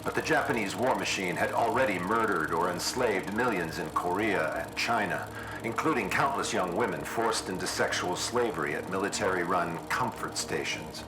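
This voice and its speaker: A man's voice: deep voice, lively intonation, normal speed, low-quality recording. A man giving scripted, dramatic historical narration in a grave, formal manner. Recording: music behind the voice.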